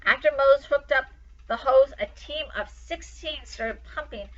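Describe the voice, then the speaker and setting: A woman storyteller with a fairly high, bright and forceful voice reads a children's tale aloud. Her delivery is dramatic and emphatic. The recording sounds a little dull. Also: measured pace. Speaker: storytime reader.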